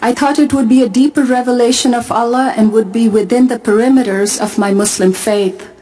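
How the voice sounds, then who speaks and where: A middle-aged woman from Pakistan, speaking at a conference and telling her own story in a measured, earnest way with deliberate pauses. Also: on the high side, steady tone, mellow, slight background noise, poor audio. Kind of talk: testimony talk to a conference audience. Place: large arena.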